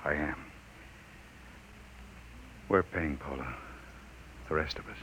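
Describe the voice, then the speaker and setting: A man's voice: rumbling low, slow, slight background noise, full character voice, poor audio. An actor playing a desperate, imprisoned male scientist in a 1950s radio drama. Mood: despairing. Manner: shouting in fury, later quiet and despairing. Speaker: lead actor.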